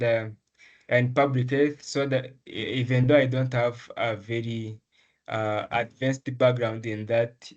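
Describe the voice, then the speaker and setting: A man's voice: medium pitch, dull audio. Earnest young man from Rwanda. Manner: earnest, measured, with frequent ums and restarts. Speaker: medical student, essay prize winner. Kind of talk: interview answers.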